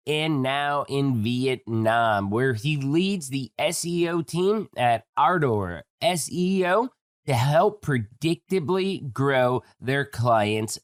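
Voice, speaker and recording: A man's voice: mid-range voice, no echo, clean studio sound. A man hosting a podcast interview, chatty and enthusiastic with a joking manner. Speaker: podcast host. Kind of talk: casual interview.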